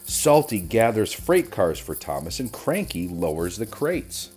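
A man narrating a children's storybook in an expressive read-aloud style. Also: on the low side, measured pace. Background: music.

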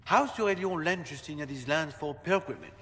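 Pompous marquis, bright forceful voice, indignant, long reverb, video game. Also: male voice, a little high, lively intonation, brisk. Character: haughty noble marquis, middle-aged man. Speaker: game character.

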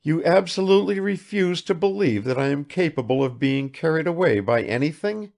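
A man's voice: fairly high pitch, expressive, brisk, creaky, darkish tone, pitched above the performer's own voice. A man voicing a proud, world-weary young aristocratic woman in a languid, searching manner for an audiobook. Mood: eager, piqued. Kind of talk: dramatised literary audiobook dialogue.

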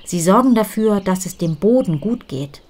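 Eine Frau, die ein eifriges, etwas bestimmendes junges Mädchen spricht, lebhaft und erklärend. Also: female voice, medium pitch, animated, moderate pace, darkish tone, close to an everyday voice. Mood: explaining. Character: eager young girl gardener, bossy.

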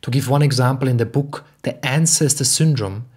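A young man from Austria speaking in an earnest, emphatic way, slowly repeating phrases as he talks alone to the camera at home. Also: medium pitch, husky. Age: young adult. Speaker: podcast host and spiritual teacher. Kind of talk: reflective solo talk. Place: home living room.

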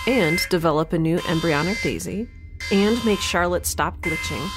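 A young woman giving a wry, chatty scripted monologue as the lead of an audio drama. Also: fairly low pitch. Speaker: solo audio drama narrator and protagonist.

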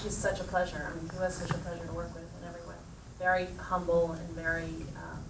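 A woman with a fairly low, even voice speaks into a handheld mic on a theater stage, reflective and full of fillers. The room echoes, the mic sits at a distance, and noise runs under her. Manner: warm, reflective, many fillers. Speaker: film producer. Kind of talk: panel Q&A answers.

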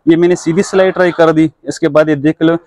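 Pakistani shopkeeper, dry close sound. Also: male voice, a little high, fairly even intonation, fairly quick, a little mellow, no room sound. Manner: patient, explains step by step. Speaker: sewing machine shopkeeper. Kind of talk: casual product demonstration. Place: shop full of machines, indoors.

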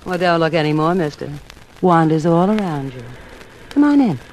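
A woman's voice: sits low, lively intonation, normal speed, a little mellow. An actress playing a flirtatious, businesslike female insurance agent with coy patter in a 1950s radio drama. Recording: noisy 1950s radio recording.

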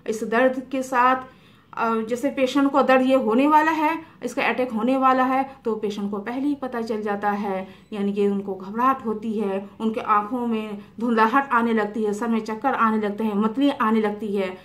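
A middle-aged woman homeopathic doctor, explaining remedies to camera in an unhurried way with many fillers, in a small room. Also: fairly high pitch. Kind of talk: health advice talk to camera. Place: small indoor room by a curtained window.

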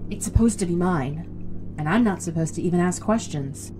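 A woman's voice: lowish voice, normal speed, lightly acted, low-quality recording. An actress playing a bitter, wry woman kept alive as a severed head in an audio drama, questioning and plaintive. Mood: bitter, anguished.

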